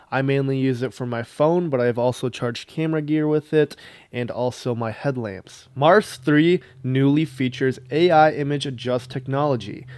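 A man's voice: on the high side, no room sound, clean studio sound. A young man doing a scripted sponsor read outdoors on a lawn, eagerly pointing out product features to a camera a few feet away. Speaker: sponsor presenter.

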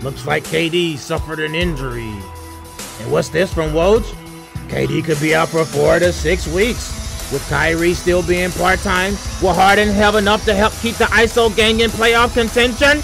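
A man's voice: sits high, fairly even intonation, normal speed, gravelly, music in the background. A man doing profane, slangy, exaggerated comedy voiceovers of athletes and referees. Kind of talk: comedic voiceover dubbing over sports clips.

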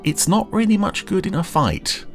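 A man giving casual, musing commentary while watching a TV episode, often pausing mid-thought. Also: sits high, expressive, gravelly, loud music behind the voice. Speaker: podcast commentator.

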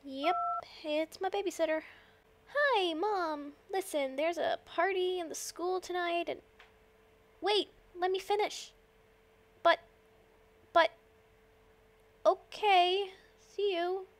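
A glum young teenage girl with a high voice, acted by a woman. She sounds reluctant and a little dry, in comic dub style. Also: slow, long pauses. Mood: matter-of-fact. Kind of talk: comic fandub acting.

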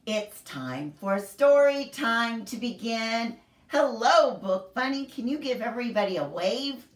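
A middle-aged woman reading a picture book aloud to young children in an expressive, sing-song, deliberate storyteller manner. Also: on the high side. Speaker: children's library story time host. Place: small home room, indoors. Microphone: camera a few feet away. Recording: roomy echo, distant camera microphone.

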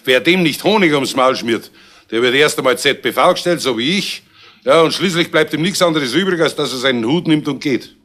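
A man's voice: fairly high pitch, fairly quick, a bit bright, natural voice. A man playing a shrewd, grumbling police inspector in a radio crime play, folksy and dry, with a Bavarian accent. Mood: ingratiating, aggrieved. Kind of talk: 1970s German radio play acting.

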